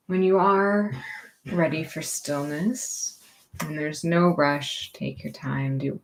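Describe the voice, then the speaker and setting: A woman teaching gentle movement and meditation in a reassuring, permissive, unhurried manner. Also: fairly low pitch, rough recording.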